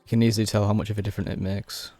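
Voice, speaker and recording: Hoarse young man, flat and low. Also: very fast. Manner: laid-back, explains step by step with many fillers. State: hoarse, losing his voice. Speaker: music producer. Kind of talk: casual software tutorial.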